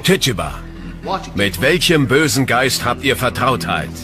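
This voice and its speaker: A severe interrogating judge from a historical docudrama. His questions are insistent and theatrical, rising and falling dramatically. Music plays clearly underneath. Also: mid-range voice, very animated, measured pace. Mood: accusing, pressing. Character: stern, insistent male judge interrogating an accused witch. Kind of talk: historical docudrama dialogue in German.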